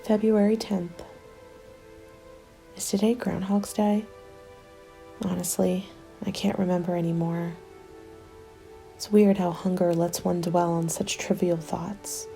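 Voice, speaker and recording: Over background music, a woman plays a hungry, bitter female survivor of a zombie apocalypse in an ASMR audio drama. The voice is hushed and mellow, with very heavy creak. It often thins into a breathy whisper. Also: fairly low pitch, flat delivery, slow pace, long pauses. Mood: weary, mournful. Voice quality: creaky, soft. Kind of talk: ASMR dramatic roleplay monologue. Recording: music under the voice.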